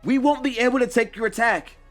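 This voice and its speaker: A man's voice: very high-pitched, brisk, fairly bright tone, soft background music, pitched above the performer's own voice. A man voicing an earnest, determined young male hero, urgent and pleading.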